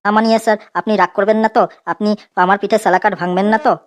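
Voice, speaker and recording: A woman's voice: mid-range voice, steady tone, fast, soft background music, natural voice. A woman voicing a cheeky, dim schoolboy in a comedy cartoon, talking in a naive, wheedling, colloquial way. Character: cheeky dim schoolboy, a self-styled poet.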